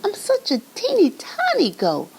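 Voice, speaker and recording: A woman voicing the tiniest billy goat, small and timid, speaking in a high, pleading, whimpering voice. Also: wildly swooping pitch, a little slow, darkish tone, a little hiss or hum, exaggerated voice.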